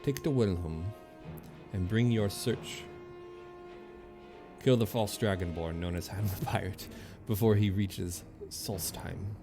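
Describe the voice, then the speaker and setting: A man's voice: sits low, a little slow. A man streaming a video game, commenting casually and reading game text aloud. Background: faint game music.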